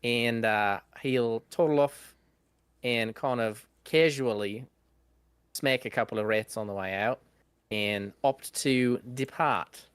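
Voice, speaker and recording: A man of middling pitch describes his character's actions in a tabletop game, rambling and playful with many fillers. The recording is dry and close. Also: slow, a bit bright, smooth, no room sound. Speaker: player. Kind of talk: casual in-character play description.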